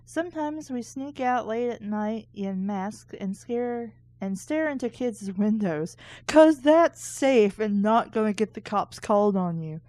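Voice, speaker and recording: A woman's voice: mid-range voice, animated, unhurried, darkish tone, no echo. A woman hosting a book reading show, reading aloud casually and breaking off for sarcastic, critical asides.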